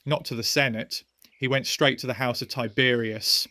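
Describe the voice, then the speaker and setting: A man's voice: medium pitch, steady tone, normal speed, pushed and bright, dry recording, natural voice. An actor in a historical audio drama playing an agitated, argumentative young Roman nobleman who speaks earnestly and urgently. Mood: accusing.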